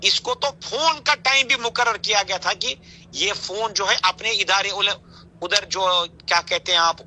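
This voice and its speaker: A man's voice: high voice, unhurried. A man with a Kashmiri accent giving a heated phone interview in an emphatic, accusatory, insistent manner. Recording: bright, noisy phone call recording.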